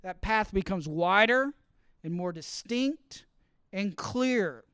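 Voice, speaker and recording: A man giving a lively teaching talk to students, often asking the room questions as he explains. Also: high-pitched, slow, clean tone, no echo, studio quality. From grew up partly in Costa Rica. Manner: asks the audience questions, explains with extended metaphor. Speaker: college speaker teaching study skills. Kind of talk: interactive classroom talk.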